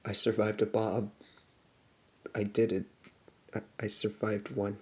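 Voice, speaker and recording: A man's voice: fairly low pitch, completely flat delivery, a little slow, darkish tone, natural voice. A man playing a nervous young survivor of a monster attack, stammering and hesitant as he recounts it. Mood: shaken. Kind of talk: horror parody monologue.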